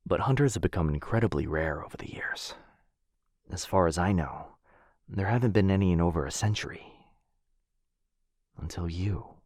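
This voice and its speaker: A man playing an apologetic, protective vampire lord in an intimate boyfriend ASMR roleplay, with a breathy, husky voice that often drops to a whisper and a hesitant, tender delivery. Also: sits low, animated, normal speed, long pauses, darkish tone, no room sound, clean studio sound. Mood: hushed, revelatory. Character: apologetic, protective male vampire lord, soft-spoken and earnest.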